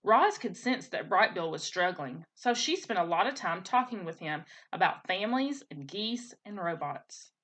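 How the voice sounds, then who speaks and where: Woman, teacher, storybook read-aloud, expressive, bright tone, lively pitch movement. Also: normal speed, few pauses.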